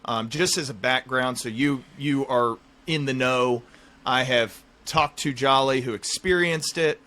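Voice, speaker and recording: A man's voice: mid-range voice, measured pace, sharp tone. A man in his thirties hosting a podcast in a casual, conversational style. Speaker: podcast host.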